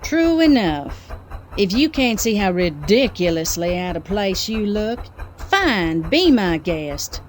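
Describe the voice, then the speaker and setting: A woman's voice: mid-range voice, big pitch swings, slow, a little hiss or hum. An actress playing a cold, domineering businesswoman in an audio drama, condescending and cutting. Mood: cold, threatening. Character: haughty, cold, domineering femme fatale businesswoman.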